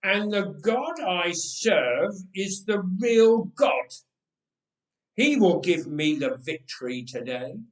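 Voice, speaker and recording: A man voicing a calm, devout shepherd boy in a storybook, speaking with steady, solemn confidence. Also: sits high, takes it slowly, voice pushed up. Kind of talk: dramatic storybook reading. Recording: echoey room, distant from mic.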